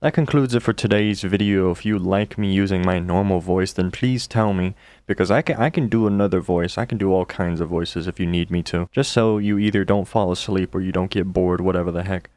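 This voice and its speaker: A man hosting a reaction commentary video, talking casually in a deep, monotone voice. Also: a little fast, no echo. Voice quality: deep and monotone from just waking. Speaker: commentary channel host.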